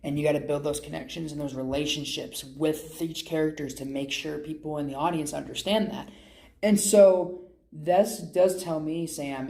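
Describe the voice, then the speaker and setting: A man interviews as a podcast host in a conversational, wordy style. His mid-pitched voice is mellow with plenty of fry. He rambles through his questions, and the room around him echoes. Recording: roomy echo.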